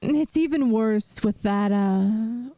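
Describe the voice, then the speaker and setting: A woman voicing a kindly, wry vampire math teacher in an ASMR audio roleplay, speaking gently and soothingly straight to the listener. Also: fairly high pitch, slow, dry recording, clean studio sound. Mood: mildly exasperated.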